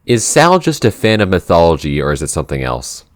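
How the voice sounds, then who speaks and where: A man co-hosting a casual podcast Q&A, thinking aloud in a halting way as he explains his writing. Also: deep voice, huge pitch swings. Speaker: podcast writer and co-host answering listener questions. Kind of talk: casual Q&A chat.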